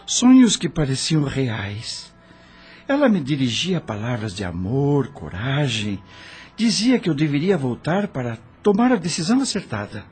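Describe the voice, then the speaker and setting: An actor with a Brazilian accent playing a dejected, lovelorn widowed brother in an emotional radio soap opera. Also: male voice, medium pitch, a little mellow, slightly rough, exaggerated voice. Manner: emotional, theatrical delivery. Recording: music under the voice.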